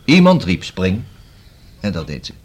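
A male actor in a Dutch radio play as a probing, self-assured police inspector who questions deliberately and dryly, with a husky voice. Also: sits low, huge pitch swings, full character voice. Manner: deliberate, rhetorical questioning with emphatic repeats.

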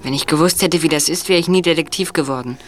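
A man's voice: fairly high pitch, fast talker, noisy background, natural voice. An actor playing a reluctant, fearful boy detective in an audio drama, grumbling in an uneasy, wry way. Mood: nervous. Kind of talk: German audio drama dialogue.

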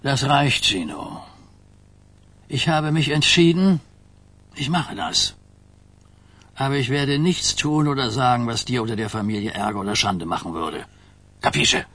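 An actor playing an aging mafia godfather, terse, weary and authoritative, with a husky voice, in a radio crime drama. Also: medium pitch, animated, unhurried, long pauses, a bit bright. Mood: firm, decisive. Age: older.

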